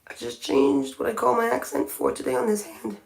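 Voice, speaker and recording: A woman's voice: a little high, very animated, fairly quick, creaky. A woman chatting casually on a livestream as she paints her nails, thinking aloud and often restarting her sentences. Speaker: nail art livestreamer. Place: home by a window.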